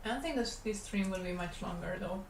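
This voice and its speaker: A woman from Catalonia, speaking English with a Spanish Catalan accent and Catalan as her first language. She talks in a relaxed, rambling way to viewers while she works. The voice sits at a middle pitch and sounds roomy, with a faint hum behind her. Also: brisk. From Catalonia, Spain. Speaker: animator streaming her work. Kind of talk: casual livestream chat while drawing. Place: animation studio room.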